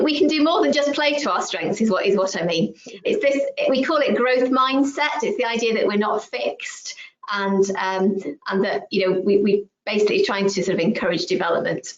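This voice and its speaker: A woman, a school head, hosting a webinar over a video call, measured and encouraging with frequent ums. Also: medium pitch. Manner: measured, encouraging, lots of ums and agreeing mm-hmms. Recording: echoey, muffled video call audio.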